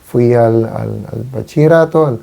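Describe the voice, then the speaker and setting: A man in his forties from Venezuela, a priest, talking on a radio show in an unhurried, reflective way with frequent hesitations. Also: mid-range voice, creaky, mellow. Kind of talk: casual conversation on a radio talk show.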